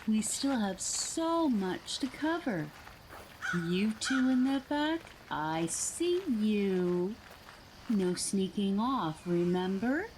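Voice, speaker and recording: A woman playing an eerie tour guide in a horror audio drama, telling the story in a theatrical, hushed way. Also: mid-range voice, a little hiss or hum, low-quality recording. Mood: coaxing. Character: eerie female tour guide of a haunted town.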